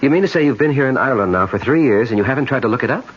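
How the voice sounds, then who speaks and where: An actor playing an earnest, brooding American advertising man in 1970s radio drama, speaking deliberately. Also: medium pitch, lively intonation, slightly rough. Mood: brooding, insistent. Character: American advertising man, old college friend, brooding over ancestry. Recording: slightly noisy, muffled 1970s radio broadcast.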